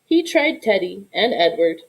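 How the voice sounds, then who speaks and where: A woman reading a children's picture book aloud in an expressive storytelling style. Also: mid-range voice, unhurried, low-quality recording. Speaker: storybook reader.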